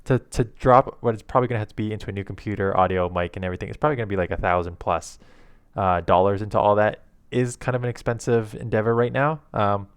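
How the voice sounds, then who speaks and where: A man, rambling casually to camera in a monotone, low-key way on an old headset mic at his home desk. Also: fast talker, clear, resonant tone, no room sound, clean studio sound. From Canada. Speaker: YouTube content creator. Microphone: old headset microphone.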